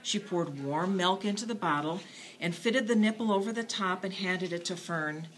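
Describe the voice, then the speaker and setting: A woman's voice: lowish voice, moderate pace, slight background noise, rough recording. A woman narrating a children's bedtime story expressively.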